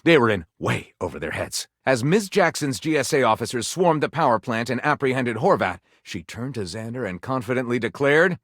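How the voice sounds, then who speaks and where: A man's voice: mid-range voice, animated, brisk, few pauses, fairly bright tone, no room sound, studio quality. A man playing a flamboyant, pompous narrator of pulpy detective romance in a game, delivering it theatrically and tongue-in-cheek. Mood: grandly dramatic.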